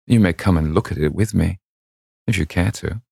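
A man's voice: low-pitched, normal speed, vocal fry, dark tone, breathy edge, dry recording, clean studio sound, exaggerated voice. A man voicing an urbane, languid and witty aristocrat in a storybook reading. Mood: suave, amused.

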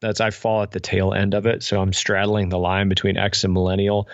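A man's voice: low-pitched, flat delivery, a little fast, dry recording, dull audio. A man in his forties talking casually and reflectively as an interview guest, self-deprecating and often restarting his sentences. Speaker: podcast guest, pastor and author.

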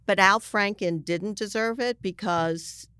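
A woman's voice: mid-range voice, measured pace, bright, forceful tone, smooth, dry recording, studio quality. A woman speaking as a journalist guest in an interview, making her case emphatically and breaking off to rephrase. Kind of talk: argumentative interview discussion.

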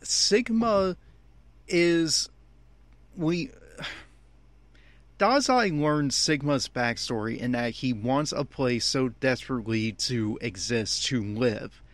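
A man's voice: mid-range voice, lively intonation, slow pace, pauses often, no echo. Exasperated man giving commentary, close dry sound. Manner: exasperated, complaining. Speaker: fan podcast host. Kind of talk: opinionated anime episode commentary.

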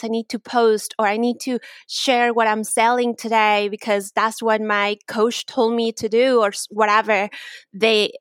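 A woman's voice: fairly high pitch, fairly bright tone, dry recording. A young woman from Mexico with a Mexican accent, chatting openly as a podcast guest, laughing often and restarting her sentences. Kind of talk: casual interview chat.

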